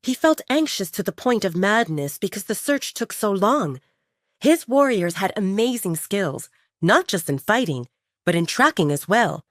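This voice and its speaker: A woman reading a romance story as scripted audiobook narration, in an expressive, dramatic storytelling manner. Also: medium pitch, no echo, studio quality.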